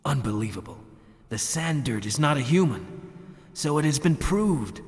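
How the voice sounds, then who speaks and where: An actor playing a grave, skeptical attendant in a theatrical video game, speaking in a measured way. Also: male voice, on the high side, very animated. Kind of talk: theatrical scripted game dialogue. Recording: long hall reverb.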